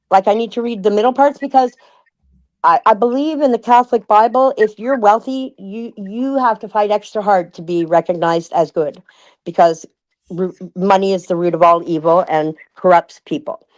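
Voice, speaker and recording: A woman in a casual livestream discussion, thinking aloud with many restarts and reading passages out slowly. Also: medium pitch.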